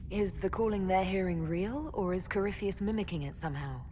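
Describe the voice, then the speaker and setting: A woman voicing a calm, diplomatic leader in a video game, composed and reasonable. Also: mid-range voice, a little fast, lightly acted. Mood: inquiring. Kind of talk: video game dialogue acting. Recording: long reverb, faint background noise.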